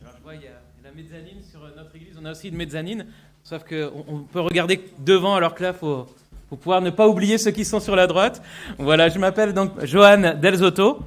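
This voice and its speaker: Earnest French pastor, halting delivery. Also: male voice, high-pitched. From Brittany, France. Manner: halting, repeats words, earnest. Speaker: guest pastor. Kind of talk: sermon with personal testimony. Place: church sanctuary with mezzanine.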